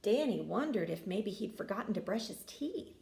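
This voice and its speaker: A woman reading a children's picture book aloud with expressive, folksy inflection. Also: mid-range voice, moderate pace, creaky, echoey room. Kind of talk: animated children's story reading.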